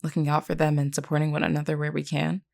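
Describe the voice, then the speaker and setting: A low-voiced vampire queen played by a woman, composed and solemn, with a gravelly fry in her tone. She speaks formally and earnestly, like a caring leader in an audio drama, reassuring and measured. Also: monotone, darkish tone. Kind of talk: scripted character roleplay audio.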